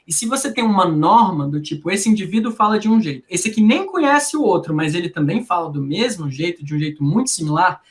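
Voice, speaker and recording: A woman's voice: on the low side, big pitch swings, quick pace, hardly any pauses. A woman from Brasília with a Brasília accent, a linguistics researcher explaining in a conversational interview, didactic and full of tag questions. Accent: Brasília Portuguese. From Brasília, Brazil.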